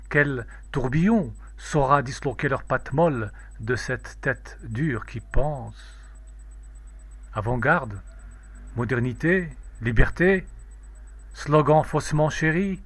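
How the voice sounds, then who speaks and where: A man reciting a poem in a measured, declamatory, solemn way. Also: medium pitch, fairly bright tone, no room sound. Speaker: poetry reader.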